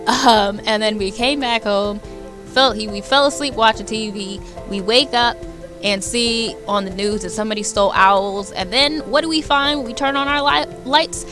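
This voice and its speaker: A woman's voice: on the high side, moderate pace. A woman livestreaming a game, chatting informally and playfully and reading a visual novel aloud. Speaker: game streamer. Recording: music playing behind the voice. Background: background music.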